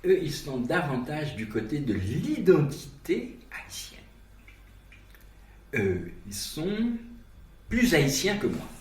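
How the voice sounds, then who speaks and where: A man's voice: a little high, big pitch swings, takes it slowly, long pauses. A middle-aged man from Haiti, speaking reflectively and hesitantly in a documentary interview. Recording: echoey, distant mic with faint ambience.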